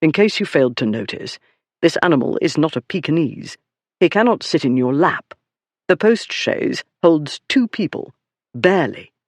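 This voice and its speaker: A male narrator voices an aristocratic duke from a novel. His voice is cool and low, carrying easily, with an unhurried, dry disdain and calm authority, recorded without any room sound. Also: no echo. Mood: dry impatience.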